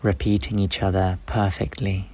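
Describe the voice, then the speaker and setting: A man guides a meditation in a low voice with very little melodic movement. He gives gentle step-by-step instructions in short, unhurried phrases, leaving long pauses between them. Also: level tone.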